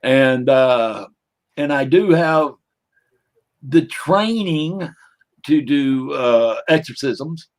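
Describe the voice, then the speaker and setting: A man's voice: medium pitch, expressive, extremely slow, pauses often. An older man, a demonologist guest in a casual livestream interview, talking in a rambling, folksy way with jokey asides.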